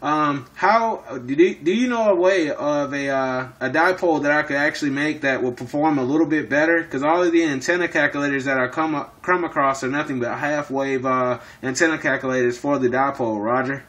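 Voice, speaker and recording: A man's voice: on the high side, fairly quick, hardly any pauses, fairly bright tone. A man in casual two-way radio chat, speaking in operator jargon and often repeating himself. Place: indoors in an apartment.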